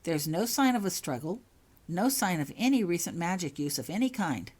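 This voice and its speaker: A woman's voice: lowish voice, big pitch swings, moderate pace, darkish tone, slightly rough. A woman voicing a stern, worried older headmistress in a storybook reading, formal and questioning.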